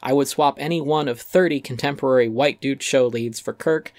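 A man's voice: medium pitch, a little fast. A man reading a scripted essay aloud as narrator, articulate and emphatic.

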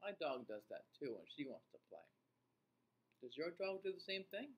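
A middle-aged man reading a picture book aloud to young children, expressive and deliberate, pausing to put questions to his listeners. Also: on the high side, slight background noise.

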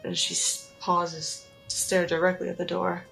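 Low-pitched, creaky-voiced woman narrating. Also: monotone, moderate pace, a bit bright, soft background music, poor audio. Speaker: player in a tabletop role-play podcast. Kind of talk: narrating her character's actions.

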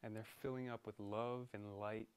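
A man leading a guided meditation in a soothing, deliberate manner, pausing between short phrases. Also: fairly low pitch, level tone, clean tone.

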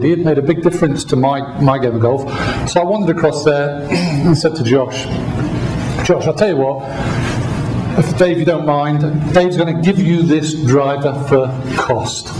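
Middle-aged male keynote speaker, blunt and funny, slightly high voice, roomy ballroom sound. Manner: opinionated, humorous storytelling. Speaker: conference speaker. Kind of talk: keynote presentation. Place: large hotel ballroom with an audience. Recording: echoey ballroom with room noise.

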